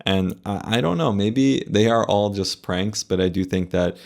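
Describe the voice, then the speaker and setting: Male medical commentator, low and heavily creaky, measured and explanatory, casual delivery. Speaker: plastic surgeon reacting to a video.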